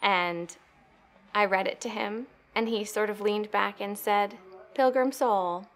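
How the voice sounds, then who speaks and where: A young American woman tells personal stories reflectively, with thoughtful, measured phrasing. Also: mid-range voice. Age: young adult. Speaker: poetry reader telling personal stories.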